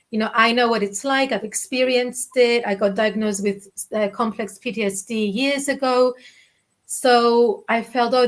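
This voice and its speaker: A woman in her forties with a Cypriot Greek accent, speaking candidly as an interview guest over a video call from her living room, often restarting her sentences. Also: mid-range voice. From Cyprus. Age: 40s. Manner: candid, reflective, many restarts and fillers. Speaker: guest, children's author. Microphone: video call webcam.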